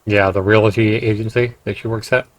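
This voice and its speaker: A man's voice: on the low side, little pitch movement, moderate pace, no echo, poor audio. A man hosting a game livestream, reading narration aloud and dropping in casual comments. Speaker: livestream host.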